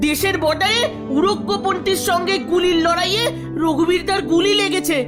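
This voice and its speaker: An excitable young cartoon gossip with an extremely high voice. The delivery is earnest and announcing, with music clearly audible behind. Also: female voice, fairly quick. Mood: solemn, earnest.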